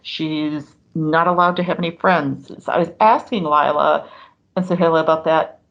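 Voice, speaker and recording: Woman reporter, bit low and fry-ish, earnest emphatic storytelling. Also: moderate pace, a bit bright, highs cut off. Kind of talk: conversational reporting.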